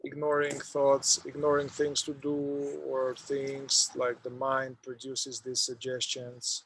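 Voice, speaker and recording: A man leading a guided meditation, speaking in an unhurried, halting way with long pauses. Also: mid-range voice, monotone, a bit bright, slight background noise, poor audio.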